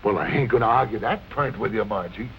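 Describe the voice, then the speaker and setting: A man's voice: on the low side, quick pace, a bit bright, slight background noise, poor audio. An actor playing a slangy, sardonic nightclub bartender, a man in a 1940s film noir.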